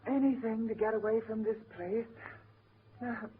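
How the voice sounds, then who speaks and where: A woman's voice: on the high side, fairly even intonation, moderate pace, lightly acted. A woman's voice from an actress playing a bored, fretful society lady. She is weary and peevish in a period radio drama style. The old recording is tinny and faintly noisy. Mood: weary, complaining. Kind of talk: 1940s radio drama acting.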